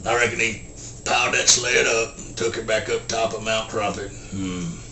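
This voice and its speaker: A man voicing a slow, simple-minded rural Southern man, telling a folksy story in halting, deadpan short sentences with grunted hums and a Southern US accent. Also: lowish voice. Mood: deadpan, plodding. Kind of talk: folksy storytelling, film character impression. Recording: roomy echo, faint background noise.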